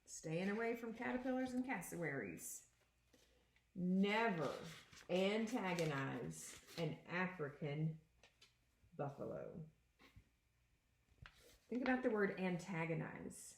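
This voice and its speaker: A woman reading a children's picture book aloud as a second-grade teacher, with deliberate, explanatory phrasing. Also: fairly low pitch, expressive, gravelly, a little hiss or hum. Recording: echoey room.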